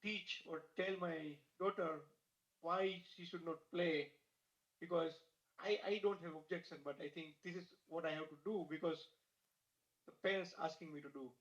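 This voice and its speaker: A man asking a question on a video call, speaking in halting, uneven phrasing. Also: a little high, steady tone, fairly bright tone. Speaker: audience member asking a question. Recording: echoey dull video call audio.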